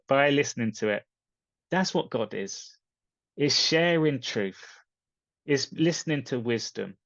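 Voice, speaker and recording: A man's voice: a little high, vocal fry, dry recording. Male coach, casual and earnest, emphatic short phrasing; dry, slightly dull sound. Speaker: spiritual coach and video host. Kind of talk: casual book recommendation talk to viewers.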